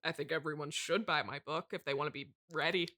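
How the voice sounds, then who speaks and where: A woman acting as a self-important horror novelist in an improvised comedy podcast. Her voice is very bright and sharp, pitched on the low side for a woman or simply direct in its bite. She is prickly, deadpan and easily exasperated. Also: quick pace. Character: haughty, eccentric woman horror writer, touchy and oblivious. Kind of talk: improvised mock interview.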